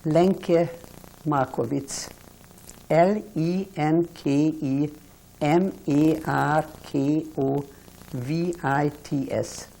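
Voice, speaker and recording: Deep gravelly woman in her eighties. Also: fairly even intonation, warm tone, slight background noise, rough recording. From Hungary. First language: Hungarian. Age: 80s. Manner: slow, halting recollection with restarts. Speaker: interviewee. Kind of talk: oral history testimony.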